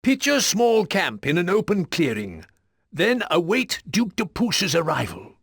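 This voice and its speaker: An actor playing an irascible, pompous older lord with a blustering manner in a video game. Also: male voice, sits high, wildly swooping pitch, unhurried, gravelly, a bit bright, breathy edge, no room sound, studio quality, soft background music, heavily acted. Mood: formal instruction. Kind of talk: video game cutscene acting.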